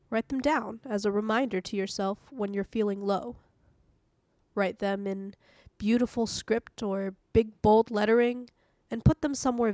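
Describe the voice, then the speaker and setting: A woman hosting a podcast, reading an audio devotional in a calm, measured, earnest way. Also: medium pitch, dry recording. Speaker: devotional podcast host and reader.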